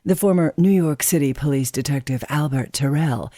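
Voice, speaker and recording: A woman's voice: sits low, moderate pace, dark tone, no echo, clean studio sound. A woman playing a haughty best-selling author and doctor as a guest in a radio drama. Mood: polite, self-important. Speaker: talk show guest character.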